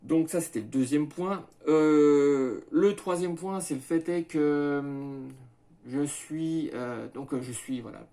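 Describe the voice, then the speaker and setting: A man in his thirties from western France presents himself and his farm project to camera, halting often with fillers and restarts as he goes through his points one by one. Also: on the high side, warm tone. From France, Maine-et-Loire. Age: 30s. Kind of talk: hesitant self-presentation to camera.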